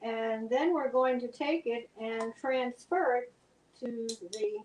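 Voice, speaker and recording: Older guest cook, fairly high voice, homey and plain-spoken. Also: female voice, takes it slowly, darkish tone, reverberant, slight background noise, poor audio. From New Jersey. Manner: homey, explains steps plainly. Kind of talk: cooking demonstration chat.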